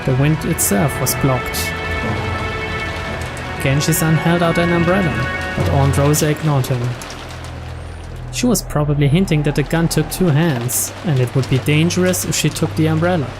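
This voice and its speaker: Man narrating over loud music and rain. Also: fairly high pitch, normal speed. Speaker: narrator reading a visual novel's translated text aloud. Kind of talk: dramatic scripted narration.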